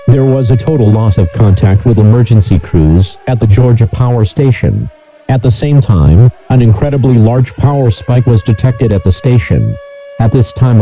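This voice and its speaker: A man's synthesized voice reading scripted emergency alert messages in a flat, measured, robotic delivery. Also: medium pitch, muffled recording.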